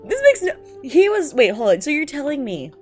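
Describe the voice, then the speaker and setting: Young female streamer, non-native English speaker, very lively pitch swings, slangy exasperated commentary over music. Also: moderate pace. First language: not English. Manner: animated, exasperated, giggly, slangy, profane. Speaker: streamer reacting to fan fiction. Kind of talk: casual commentary while reading aloud.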